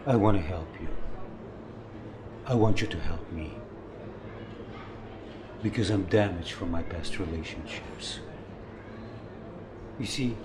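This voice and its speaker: A man's voice: deep voice, background noise. An actor playing a wounded, jealous older man in love in a film drama, earnest and pressing as he talks. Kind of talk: naturalistic film drama dialogue.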